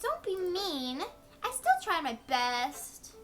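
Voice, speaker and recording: A woman's voice: very high-pitched, sing-song, takes it slowly, voice pushed up, heavily acted. A woman voicing a cheerful, clumsy teenage schoolgirl in a visual novel game, sweet and bubbly.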